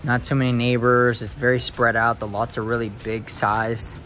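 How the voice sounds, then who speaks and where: A young man filming a casual home tour on a handheld phone, talking to viewers with excited exclamations and lots of fillers. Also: medium pitch, normal speed, slight background noise. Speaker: real estate video host. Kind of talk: casual walkthrough narration. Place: inside a large empty house.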